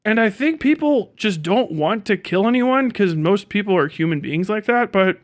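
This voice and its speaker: A man's voice: sits high, normal speed. A man talking casually and off the cuff as a livestream host, blunt, slangy and profane, slipping into acted-out bits of dialogue. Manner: animated, acts out imagined dialogue. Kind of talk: casual unscripted livestream monologue.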